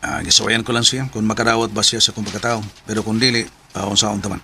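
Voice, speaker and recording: A man in a Bisaya radio drama playing a brisk fellow who prods the person he talks with. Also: fairly low pitch, little pitch movement, creaky, bright, forceful tone, a little hiss or hum, heavily acted. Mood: casual, prodding.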